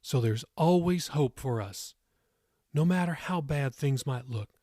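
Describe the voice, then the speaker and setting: A man's voice: medium pitch, animated, measured pace, dark tone, no echo, studio quality. A man voicing a kindly old farm woman with a folksy, teasing manner in an audiobook story. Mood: folksy, encouraging.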